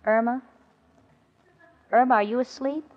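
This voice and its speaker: An actress playing a sensible, exasperated young roommate in a 1940s radio comedy, with a wry, theatrical delivery. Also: female voice, fairly high pitch, steady tone, unhurried, close to an everyday voice. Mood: irritated. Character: exasperated young woman roommate, sensible, sarcastic, long-suffering. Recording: muffled, noisy old radio broadcast recording. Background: studio audience, hiss.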